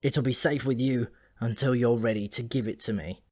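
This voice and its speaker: A man's voice: medium pitch, fairly even intonation, normal speed, darkish tone, dry recording, clean studio sound, close to an everyday voice. Man playing a gloomy, grumbling ancient dwarf. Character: ancient male sulphur dwarf, tipsy, dyspeptic, grudgingly friendly.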